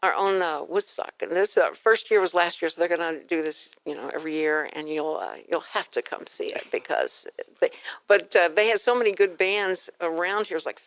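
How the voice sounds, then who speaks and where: An American woman hosts a radio interview in a fairly low voice. She rambles in an informal, storytelling way, voicing bits of quoted speech as she goes. The sound is dry, with little room in it. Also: a little fast, no room sound. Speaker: radio show host.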